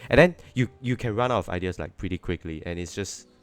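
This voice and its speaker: A deep-voiced man with a Singaporean English accent, talking casually on a podcast. He rambles, repeats himself and leans on "you know". Faint music sits low in the background. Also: quick pace, clean tone. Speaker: podcast guest.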